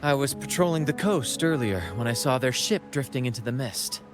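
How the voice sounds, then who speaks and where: A man's voice: mid-range voice, animated, brisk, close to an everyday voice. A man voicing a bold, flamboyant young captain of roving players in a video game, theatrical and gallant. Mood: gallant, reassuring. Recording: background music under the voice.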